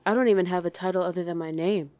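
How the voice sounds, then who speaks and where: A condescending female demon commander, acted by a woman for an ASMR roleplay. Her voice sits a little low and sounds very dry, with no room around it. She talks to the listener in a casual, sardonic, gossipy way. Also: fairly even intonation, racing, warm tone, dry recording, lightly acted. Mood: wry gossiping. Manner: sardonic, chatty, conversational.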